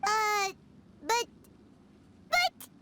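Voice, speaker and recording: A woman voicing a tiny, excitable childlike girl fairy companion in a video game, with a squeaky voice. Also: extremely slow, noisy background, exaggerated voice. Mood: flustered. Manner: refers to self in third person.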